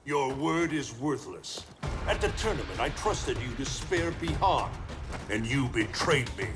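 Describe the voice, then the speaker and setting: A man's voice: medium pitch, big pitch swings, unhurried. A man playing a distrustful, wrathful god in a dramatic video game, with commanding, declamatory speech. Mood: accusing. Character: wrathful, distrustful thunder god protecting Earth. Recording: loud cinematic music behind.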